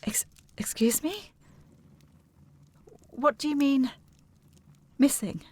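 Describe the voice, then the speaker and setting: An actress playing a formal, earnest and insistent gentlewoman, anxious about family, in a period audio drama. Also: fairly high pitch, expressive, normal speed. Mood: distressed disbelief. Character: proper, anxious, insistent gentlewoman seeking her sister.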